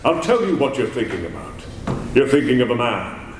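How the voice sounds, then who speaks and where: An actor playing a mysterious male avenger who taunts a prisoner with slow, menacing words and soft laughs, in old radio drama style. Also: medium pitch, very animated, noisy background, close to an everyday voice. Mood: taunting. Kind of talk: 1930s radio drama acting. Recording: old roomy radio recording.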